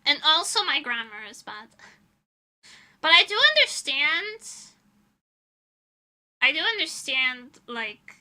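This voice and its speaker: A woman's voice: high-pitched, big pitch swings, takes it slowly, pauses often, bright, forceful tone. A young woman streaming as a VTuber, chatting casually with her viewers in a rambling, giggly way.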